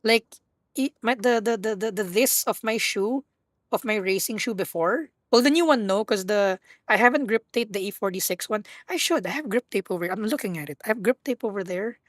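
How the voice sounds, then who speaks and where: A woman with a husky voice, hosting a live stream and rambling playfully while she plays. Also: medium pitch, a little fast, dry recording, clean studio sound. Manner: rambling, self-correcting, playful. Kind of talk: casual live-stream chat while gaming.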